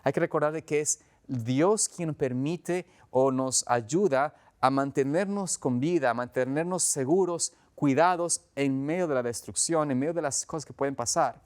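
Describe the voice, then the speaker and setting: Ecuadorian pastor preaching conversationally. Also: male voice, a little high, moderate pace. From Quito, Ecuador. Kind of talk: conversational sermon.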